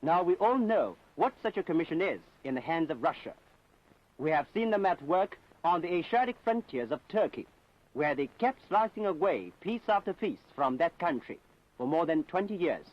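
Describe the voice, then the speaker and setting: A man's voice: a little high, big pitch swings, moderate pace. A man narrating a scripted propaganda documentary in a solemn, emphatic, declamatory manner. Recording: dull 1960s film soundtrack.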